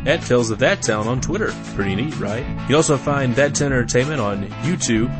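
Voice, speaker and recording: A man's voice: medium pitch, animated, brisk. Man reading show credits over music. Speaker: credits announcer. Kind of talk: scripted credits read.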